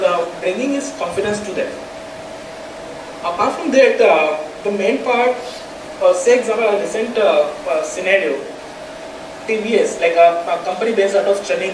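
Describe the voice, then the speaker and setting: A panel speaker with an Indian accent giving a semi-formal presentation for a startup, speaking in a halting way and often repeating words. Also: mid-range voice. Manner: halting, repetitive phrasing. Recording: distant echoey mic with clear background noise.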